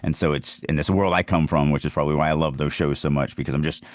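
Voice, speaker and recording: A man's voice: rumbling low, steady tone, fast talker, no room sound. A man co-hosting a podcast, casually discussing the craft of writing. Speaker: podcast co-host and writer.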